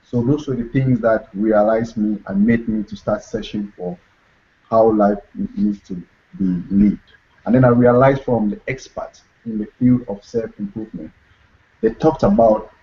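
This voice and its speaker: A man's voice: mid-range voice, mellow, slight background noise. A young man from Cameroon, talking earnestly as an interview guest and repeating his key phrases. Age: young adult. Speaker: guest author. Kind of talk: motivational interview talk. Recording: dull lo-fi recording.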